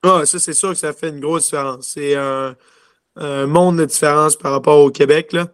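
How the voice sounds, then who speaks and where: A man's voice: a little high, steady tone, moderate pace, clean tone, no room sound, clean studio sound. A young man from Quebec with a Quebec French accent, chatting casually as an interview guest and rambling with lots of filler words. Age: young adult. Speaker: interview guest, junior hockey player.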